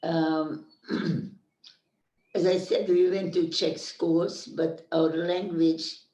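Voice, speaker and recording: Older woman, oral history witness, slow and halting, roomy distant sound. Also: fairly low pitch, flat delivery, dark tone. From Carpathian Ruthenia. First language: Hungarian. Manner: slow, searching for words with many pauses. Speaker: interviewee. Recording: echoey room, speaker off mic.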